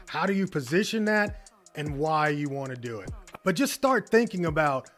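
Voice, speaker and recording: An American man, a business coach, giving an energetic motivational talk and often checking in with "right?". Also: fairly high pitch. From United States. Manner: energetic, tags lines with "right?". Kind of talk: motivational teaching monologue.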